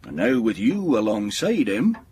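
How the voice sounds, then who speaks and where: An actor playing a kindly older solicitor in a period audio drama, genteel, avuncular and reassuring. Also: male voice, fairly low pitch, huge pitch swings, unhurried, a little mellow, breathy edge, no room sound, full character voice. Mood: fatherly reassurance.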